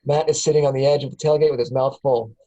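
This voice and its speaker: A man's voice: mid-range voice, fairly even intonation, quick pace, warm tone, lo-fi sound. A man reading scripted stage directions aloud as the narrator at a table read.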